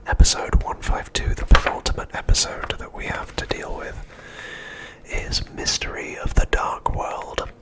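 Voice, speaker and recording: A middle-aged man narrating an ASMR recap in an unvoiced, breathy whisper, gently and unhurriedly with deliberate pauses. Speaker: ASMR host.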